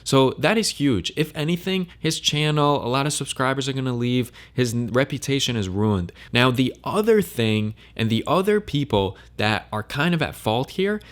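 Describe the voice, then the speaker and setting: A man's voice: mid-range voice, lively intonation, normal speed, hardly any pauses. A man giving blunt, emphatic opinion commentary on a crypto controversy.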